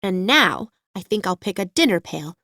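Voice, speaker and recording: A woman's voice: mid-range voice, big pitch swings, normal speed, bright, forceful tone, dry recording, full character voice. A chatty, plucky little girl from a storybook, acted by a woman. She talks earnestly and confidently, with folksy childlike turns of phrase. The recording is dry and close. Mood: chatty, sure. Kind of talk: audiobook character voice.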